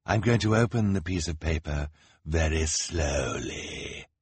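A man voicing a vain, scheming male villain who talks like a theatrical showman, loud and gloating. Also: a bass voice, expressive, a little slow, breathy edge, no echo, full character voice.

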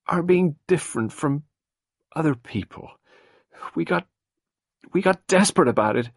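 A man's voice: a little high, animated, normal speed, creaky, husky, dry recording, clean studio sound, pitched above the performer's own voice, heavily acted. A man reading an audiobook, voicing a distraught young woman who pleads and falters.

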